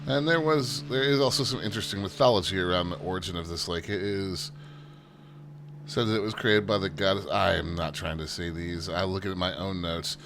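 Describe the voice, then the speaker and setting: A low-pitched man talks through a flight sim session in an easygoing, chatty tour-guide manner, joking at his own expense. A constant hum runs beneath the recording. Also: fairly quick. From United States. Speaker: flight sim channel host. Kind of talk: casual gameplay commentary. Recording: steady background hum.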